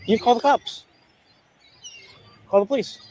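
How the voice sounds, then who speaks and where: A man in an on-the-street confrontation, speaking insistently and repetitively, with some halting starts. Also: extremely high pitch, a little mellow. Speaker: citizen investigator confronting a stranger. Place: outdoors in a public place. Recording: outdoor recording with faint ambient noise.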